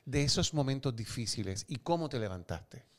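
A male interviewer with a lively, wide-ranging pitch. He keeps the talk going with frequent short affirmations and friendly questions. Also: moderate pace. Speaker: interview host. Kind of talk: conversational interview.

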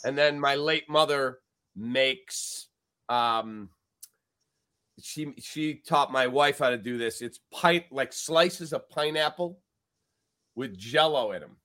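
A man's voice: mid-range voice, slow pace, pushed and bright. A man hosting a sports talk podcast, chatty and upbeat as he steers the panel. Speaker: podcast host.

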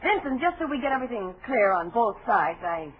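An actress in a 1940s radio drama playing a chatty, wary young vaudeville dancer, with an American accent and a halting, slangy way of talking. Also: female voice, on the high side, big pitch swings. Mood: chatty, nervous. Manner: halting, breezy, slangy show-business talk. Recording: muffled, noisy 1940s radio recording.

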